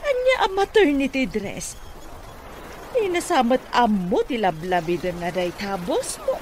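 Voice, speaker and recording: Over clear background noise comes a doting, scheming mother played by a woman in a radio comedy drama. Her delivery is emphatic and coaxing, and her pitch moves in animated swings. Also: unhurried. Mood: indignant. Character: doting, scheming mother pushing her daughter toward the boss.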